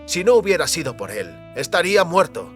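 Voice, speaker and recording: A man playing a determined, defiant technician who is tired of being used, speaking firmly and deliberately in an audio drama. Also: a little high, very animated, a bit bright, soft background music, voice pushed up. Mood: firm, insistent. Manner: firm, deliberate, insistent.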